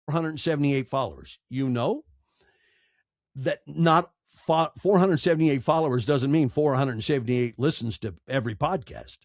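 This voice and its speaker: A man's voice: mid-range voice, moderate pace, darkish tone, dry recording, studio quality. A man speaking as a podcast guest, giving conversational advice emphatically and repeating phrases for effect.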